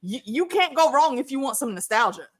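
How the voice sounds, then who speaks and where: A woman in her early forties with a fairly high voice hosts a casual livestream discussion. Her delivery is animated, slangy and unfiltered, full of swearing and side tangents. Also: fairly quick, a loud crowd. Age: early 40s. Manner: animated, slangy, profane, digressive. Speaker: livestream show host. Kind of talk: casual, unscripted fan discussion chat.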